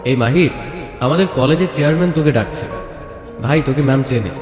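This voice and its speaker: A man's voice: medium pitch, brisk, lightly acted. A man playing a curious, blunt young college friend in an audio story, teasing in colloquial speech. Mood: amazed. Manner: colloquial, nosy. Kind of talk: Bengali audio story acting. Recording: echoey long reverb, music bed.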